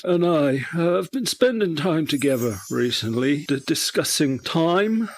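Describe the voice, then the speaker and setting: A man playing a halting, naive tech-guru character who stammers and rambles through a comedy radio monologue. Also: fairly high pitch, lively intonation, dry recording. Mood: philosophical, halting. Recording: noisy recording with a hum.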